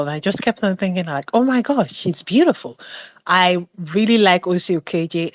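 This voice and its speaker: A woman's voice: lowish voice, no room sound, poor audio. A woman giving her opinion on a film podcast, chatty and meandering, with lots of "like" and restarts. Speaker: podcast panelist. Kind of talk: casual opinion chat.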